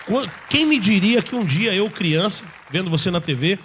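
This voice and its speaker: A man's voice: sits high, lively intonation, moderate pace, fairly bright tone, a faint crowd, a little hiss or hum. A man from Brazil hosting an interview, eager and upbeat as he reads sponsor plugs. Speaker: host.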